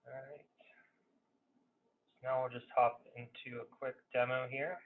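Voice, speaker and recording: Over a thin, phone-like webinar line, a man walks through a software demo in an informal, conversational way. His intonation stays flat and his pitch sits in a middle range. He speaks with some hesitation and leans often on "you know". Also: measured pace, slight background noise. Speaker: consultant presenting a software webinar. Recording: narrow phone-like webinar audio.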